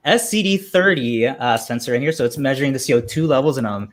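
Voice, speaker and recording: A man with a medium-pitched voice presents a project. His delivery is casual and informal, like a relaxed show-and-tell among colleagues. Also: quick pace.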